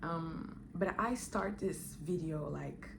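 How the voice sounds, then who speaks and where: Halting, low-voiced woman vlogger. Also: vocal fry, noisy background. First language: not English. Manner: halting, many restarts and fillers. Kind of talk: casual talk to camera.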